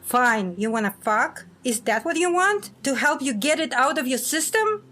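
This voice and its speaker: A woman playing a brash, sharp-tongued secret agent on the run in an audio drama, blunt and sardonic. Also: high-pitched, expressive, moderate pace, faint music behind. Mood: fuming, blunt.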